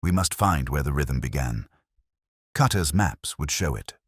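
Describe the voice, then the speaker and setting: A man voicing a calm, cerebral Victorian detective with measured, formal speech and quiet authority in an audiobook story. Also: a bass voice, husky, no room sound, clean studio sound, heavily acted. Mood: coolly deductive.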